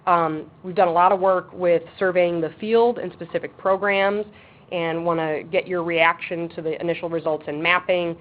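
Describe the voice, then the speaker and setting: A woman's voice: lowish voice, fairly even intonation. Woman chairing a webinar over a phone line. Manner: says she has a loud voice; brisk, practical, with frequent ums. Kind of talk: webinar presentation. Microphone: speakerphone on a table. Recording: tinny phone line with faint background noise.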